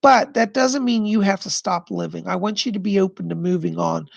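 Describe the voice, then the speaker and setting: A man hosting a live stream of psychic readings, answering viewer questions in an effusive, chatty way and pausing to listen to spirits. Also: high voice, gravelly, dry recording, dull audio. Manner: pauses to listen to spirits mid-sentence.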